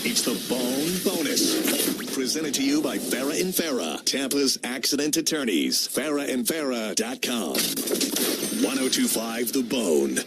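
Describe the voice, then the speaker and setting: Man, radio promo announcer, animated intonation, scripted read, very loud music bed. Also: mid-range voice, unhurried.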